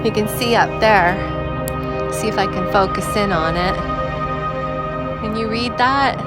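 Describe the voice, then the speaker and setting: A woman narrating a walking tour outdoors, her voice slightly hoarse as she recovers from an illness, talking slowly and explaining as she goes. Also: mid-range voice. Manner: slow, unhurried, explanatory. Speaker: tour vlogger. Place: outdoors by a river near waterfalls. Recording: loud music under the voice.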